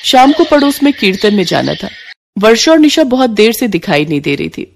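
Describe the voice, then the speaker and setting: A woman's voice: medium pitch, sing-song, a little fast, vocal fry, noisy background. A woman narrator telling a scripted story as storytelling narration.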